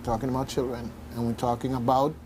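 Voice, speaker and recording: A man hosting a serious community radio discussion, speaking in a halting, emphatic way and repeating phrases deliberately. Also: mid-range voice, flat delivery, noisy background. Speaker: radio talk show host.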